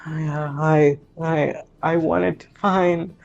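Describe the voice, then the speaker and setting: A man's voice: on the high side, steady tone, takes it slowly, vocal fry, lo-fi sound, faint music behind. A man voicing a distraught, guilt-ridden teenage orphan girl in a tabletop game, stammering and repeating words. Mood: nervous, recounting.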